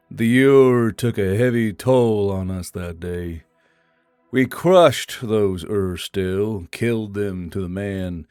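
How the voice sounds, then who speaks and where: A man performs a battle-worn drill sergeant in a sci-fi audio story, solemn and heavy with regret. He speaks very slowly in a fairly low voice, as if delivering a grave speech. Faint music plays underneath. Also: darkish tone, clear, resonant tone, no echo, clean studio sound. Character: weary, solemn veteran male drill sergeant recounting an alien war. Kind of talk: dramatic audiobook character reading.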